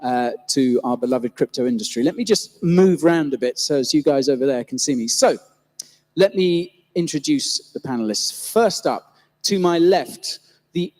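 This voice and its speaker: A man's voice: medium pitch, animated, normal speed, darkish tone. A man hosting and moderating a live panel on stage, introducing guests and asking questions, often correcting himself mid-sentence. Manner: rambling, self-correcting introductions. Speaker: host and moderator. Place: event stage before a live audience. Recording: long venue reverb.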